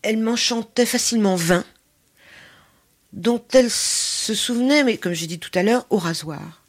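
An older woman, telling personal stories as an interview guest in an expressive, emphatic way. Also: on the high side, unhurried. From Paris, France. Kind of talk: conversational personal storytelling.

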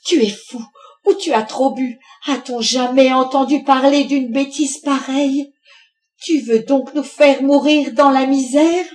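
Woman reader as furious working-class mother, raised pitch, scolding, indignant, commanding, storybook drama. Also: steady tone, a little slow, darkish tone. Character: angry scolding bargeman's wife, sharp-tongued working-class mother.

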